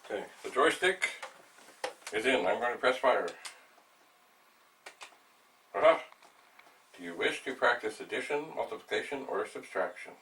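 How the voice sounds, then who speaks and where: An older man hosting a vlog, thinking aloud in a rambling, halting way as he narrates a hands-on demonstration. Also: medium pitch, animated, bright, forceful tone. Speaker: vlog host, retro computer hobbyist. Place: small cluttered indoor room at home. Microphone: camera mic a few steps away. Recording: distant mic, noisy room.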